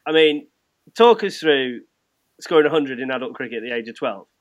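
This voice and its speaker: A man's voice: medium pitch, expressive, fairly quick, lo-fi sound. An English man hosting a casual sports interview in a matey, informal way. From England. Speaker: podcast host interviewing a guest.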